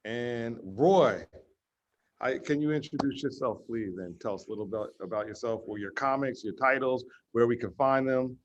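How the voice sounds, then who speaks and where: A man's voice: mid-range voice, moderate pace, muffled recording, slight background noise. A man moderating a panel, upbeat and affirming.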